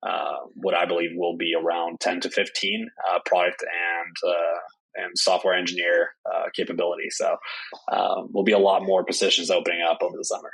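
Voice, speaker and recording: A young man from Norway speaks as a company founder in an interview. His voice sits low with a husky, slightly breathy edge. He fills his answers with frequent hesitant "uh"s. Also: monotone, fairly quick, fairly bright tone, rough recording. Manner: hesitant, many "uh" fillers. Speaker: startup CEO.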